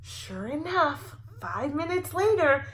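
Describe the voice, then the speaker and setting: A woman reading a picture book aloud to preschoolers as a teacher, with an expressive, playfully wry delivery. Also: sits high, slow pace, a little hiss or hum. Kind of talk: animated picture-book read-aloud for young children.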